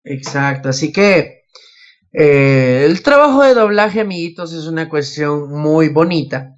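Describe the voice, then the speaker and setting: A man's voice: fairly high pitch, animated, a little slow. A young man co-hosting a casual podcast chat about anime, recorded with dull, lo-fi sound. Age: young adult. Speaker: podcast co-host.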